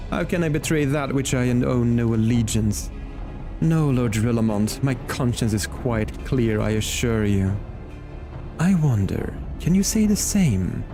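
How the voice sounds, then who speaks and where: A man voicing a haughty, scheming male inquisitor villain from a video game, delivered in a sneering, theatrical way, with game music behind. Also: mid-range voice.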